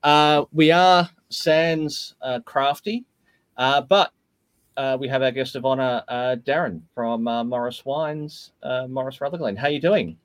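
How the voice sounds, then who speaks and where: A man's voice: medium pitch, steady tone, unhurried, dry recording. A man hosting a casual podcast, joking around and hesitating with lots of fillers. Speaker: podcast host.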